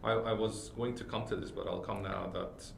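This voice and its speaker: A man hosting a podcast interview, speaking haltingly and repeating words, with loud laughs. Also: steady tone, gravelly. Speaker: podcast host. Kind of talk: casual interview. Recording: roomy with faint rumble.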